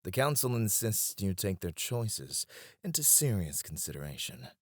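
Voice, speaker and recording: A man's voice: sits low, very animated, moderate pace, dry recording, clean studio sound. A man playing a devoted, teasing royal advisor in a romantic ASMR roleplay, speaking in a formal, courtly way. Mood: deferential, coaxing.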